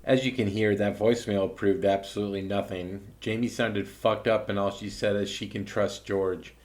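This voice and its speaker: A man's voice: fairly low pitch, fairly even intonation, moderate pace, a little mellow. A man hosting a show, giving blunt, dismissive commentary on recordings. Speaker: show host.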